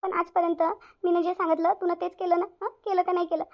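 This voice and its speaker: A woman's voice: very high voice, level tone, fast. A woman voicing a stern, self-pitying middle-aged mother-in-law in a cartoon family drama, nagging with repeated questions in a Vidarbha Marathi accent. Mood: tearful, wounded. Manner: nagging, repetitive rhetorical questions. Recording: dull lo-fi audio.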